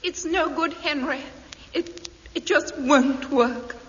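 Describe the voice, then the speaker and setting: An actress playing a resolute, tragic wife with theatrical delivery in a melodrama within a 1950s British radio comedy. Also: female voice, high voice, slow, a bit bright. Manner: theatrical, breaking off dramatically. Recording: muffled old radio, faint hiss.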